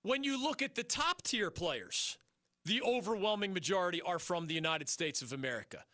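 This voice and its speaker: A male sports radio host taking calls, talking fast and emphatic, pushing his opinions with rhetorical questions. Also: high-pitched, expressive, pushed and bright, no echo, clean studio sound. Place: radio studio. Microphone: studio mic.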